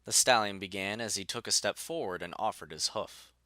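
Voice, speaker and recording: A man reads scripted story narration in a fairly low voice. His tone is bright and forceful, and the recording is dry with no room sound. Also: a little fast, no room sound.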